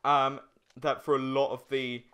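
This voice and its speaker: A man's voice: mid-range voice, little pitch movement, a little slow, bright, forceful tone, clear, resonant tone. A man co-hosting a let's play, giving chatty, casual gaming commentary with some swearing.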